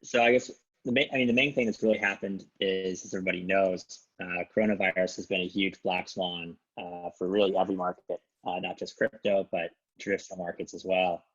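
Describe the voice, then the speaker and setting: Muffled, very low, even-toned man. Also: a little fast, husky. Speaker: investment firm presenter. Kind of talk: informal market briefing over slides. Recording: dull, lo-fi conference call audio.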